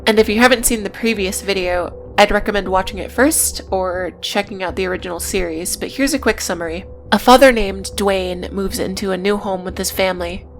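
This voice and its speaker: A woman hosting a video essay, reading scripted narration with casual, sardonic asides. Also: medium pitch.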